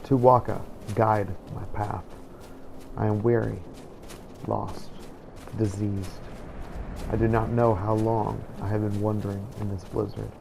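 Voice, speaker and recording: A man narrating in character as a weary, wounded adventurer, solemn and halting, in a scripted journal style. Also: on the low side, little pitch movement. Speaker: gaming video creator narrating a role-play playthrough. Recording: clearly noisy background ambience.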